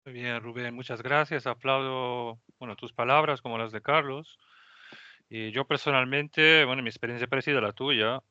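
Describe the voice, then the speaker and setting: A man's voice: mid-range voice, steady tone, moderate pace, pushed and bright, dry recording, muffled recording. A man with an Italian accent, native Italian speaker, hosting a podcast and telling his story earnestly with frequent restarts. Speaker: podcast host. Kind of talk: casual podcast conversation.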